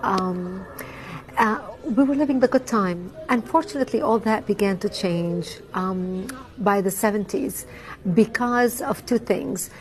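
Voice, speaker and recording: A woman, giving a measured, earnest personal testimony with frequent ums. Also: mid-range voice, poor audio. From Lebanon. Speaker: interviewee.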